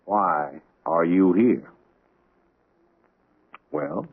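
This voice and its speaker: A blunt, world-weary police lieutenant with a low voice. He questions people flatly and firmly. The sound is thin and tinny, like an old radio broadcast. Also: drawn-out words, breathy edge, heavily acted. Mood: skeptical. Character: hard-nosed male police lieutenant, blunt. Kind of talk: 1970s radio drama acting. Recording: tinny lo-fi vintage radio recording.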